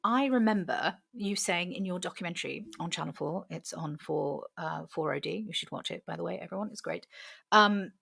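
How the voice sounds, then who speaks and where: A woman from England hosting a podcast interview, chatty and quick to laugh as she asks questions and shares her own story. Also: lowish voice, vocal fry, fairly bright tone, husky. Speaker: podcast host.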